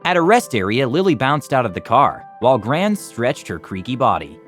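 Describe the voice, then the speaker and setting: A man's voice: medium pitch, big pitch swings, normal speed, a bit bright, soft background music. A man narrating a children's storybook expressively, as a storytime reader. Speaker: storytime reader, music club teacher.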